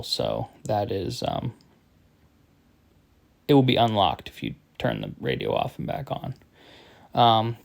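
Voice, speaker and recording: A man giving an informal step-by-step tutorial as a product demonstrator, explaining in a halting, matter-of-fact way. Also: mid-range voice, creaky, darkish tone.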